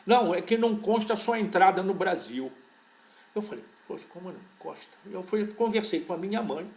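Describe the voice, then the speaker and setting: An older man with a fairly high-pitched voice recalls his past as an interview guest. He speaks in a relaxed, wandering way and often stops to restart his sentences. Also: gravelly. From born in Portugal, raised in Brazil. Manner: unhurried, rambling recollection with frequent restarts. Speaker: veterinary parasitology professor, interview guest. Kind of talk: relaxed conversational storytelling.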